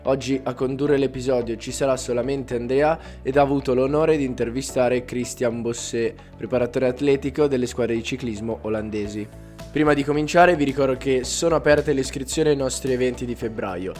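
A man giving a podcast's opening announcements in a brisk, upbeat way. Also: mid-range voice, fairly even intonation, a little mellow. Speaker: podcast host. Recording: faint music behind.

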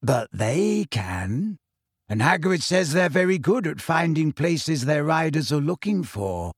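Audiobook character, man as serene teenage girl, airy and unbothered, slow drawn-out delivery, dignified, dry sound. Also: fairly high pitch, big pitch swings, husky, no room sound, exaggerated voice.

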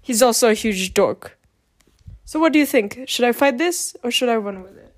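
Female agent, self-possessed, candid and flirtatious, fairly high pitch, storybook role read by a woman. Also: expressive, moderate pace. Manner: playful, candid.

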